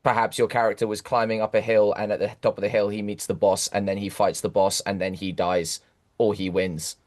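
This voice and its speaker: A man's voice: lowish voice, level tone, brisk, a little mellow. A man in a casual live discussion, asking a question in a polite, tentative, roundabout way.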